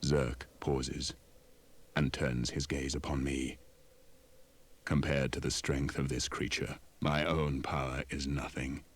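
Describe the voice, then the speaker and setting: Man as very deep, grave, world-weary storyteller. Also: flat delivery, takes it slowly, slightly rough, exaggerated voice. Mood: ominous. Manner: grave, portentous. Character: solemn, world-weary powerful narrator who names war machines. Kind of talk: dramatic scripted children's audio story narration. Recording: loud music behind the voice.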